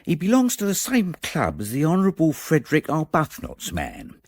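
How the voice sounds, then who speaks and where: An actor playing a deferential, shrewd gentleman's manservant in a radio drama, formal and discreetly sly. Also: on the high side, huge pitch swings, moderate pace, dry recording, clean studio sound. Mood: formal, reporting.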